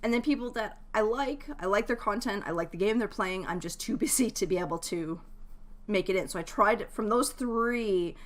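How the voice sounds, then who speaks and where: Chatty woman streamer, medium-pitched voice. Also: moderate pace. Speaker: game streamer hosting a live stream. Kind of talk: casual chat, advice to viewers.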